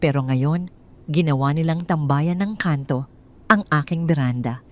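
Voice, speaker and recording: A woman's voice: sits low, measured pace. A woman narrating a first-person audio drama in a tense, dramatic storytelling manner.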